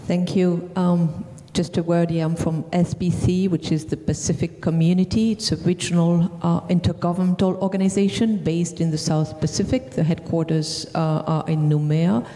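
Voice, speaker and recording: Woman panelist, french accent, lowish mellow voice, long hall reverb. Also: fairly even intonation. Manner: measured, with frequent ums and restarts. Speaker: panelist from a regional organization. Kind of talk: conference panel answer. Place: large conference hall. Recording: distant hall reverb, faint noise.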